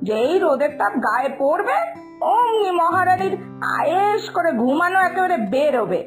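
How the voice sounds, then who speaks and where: A woman's voice: big pitch swings, normal speed, faint music behind. A woman voicing an exasperated, superstitious village mother who scolds and wails in a cartoon fairytale. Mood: exasperated, complaining. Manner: shrill scolding, wailing complaints.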